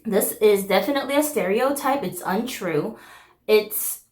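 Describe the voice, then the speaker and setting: Woman host in her thirties, korean native speaker, talks openly and casually, mid-pitched, roomy echo. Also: expressive, unhurried, darkish tone. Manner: candid, chatty, frequent "like" and "um" fillers. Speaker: channel host. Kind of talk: casual chat to camera.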